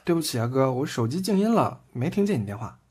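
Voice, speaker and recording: A man's voice: medium pitch, sing-song, fast, a little mellow, husky. A man playing a defiant, blunt, proud young man in an audio drama, with curt delivery. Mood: soft, tired. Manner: curt, scornful retorts. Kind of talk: Chinese audio drama acting. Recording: music behind the voice.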